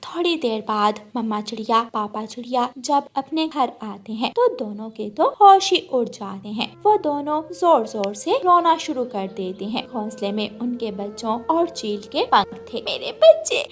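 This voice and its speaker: A woman narrator reading a scripted children's animated story. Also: on the high side, unhurried, hardly any pauses.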